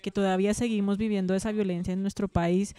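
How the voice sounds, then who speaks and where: A woman's voice: medium pitch, flat delivery, fast, mellow, clean tone, no room sound, faint music behind, natural voice. A woman with a Colombian accent playing an attentive, affectionate granddaughter reacting expressively in a radio drama. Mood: moved, tender.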